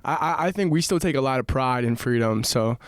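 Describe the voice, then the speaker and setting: A young man from Morocco talks through his story in a relaxed way, with plenty of fillers and restarts. His voice is smooth and clear, in a middle register. The studio sound is dry and close. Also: medium pitch, fairly quick, no room sound. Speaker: musician guest. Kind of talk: casual podcast chat. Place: podcast studio.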